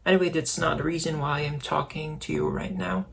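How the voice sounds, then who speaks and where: A man's voice: fairly high pitch, sing-song, a little fast, vocal fry. A young man from the Philippines with a Filipino accent, talking casually to the camera as a vlogger. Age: young adult. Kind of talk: casual vlog talk to camera.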